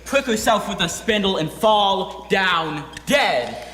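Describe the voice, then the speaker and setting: A man's voice: high-pitched, expressive, slow, pushed and bright, full character voice. A man playing a vengeful wise woman casting curses in a readers theatre fairy tale. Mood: spiteful fury. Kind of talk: readers theatre stage reading. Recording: echoey hall with faint noise.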